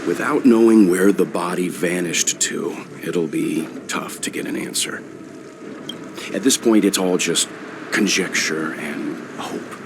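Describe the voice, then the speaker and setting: Calm older mentor, very low voice, slow and measured, hiss behind. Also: male voice. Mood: cautious. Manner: measured, grave, deliberate. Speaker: game character. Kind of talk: game dialogue voice acting.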